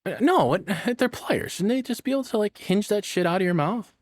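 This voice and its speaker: A man's voice: high-pitched, lively intonation, a little fast, no room sound. A man giving casual, off-the-cuff reaction commentary as a streamer, rambling, joking and swearing as he goes.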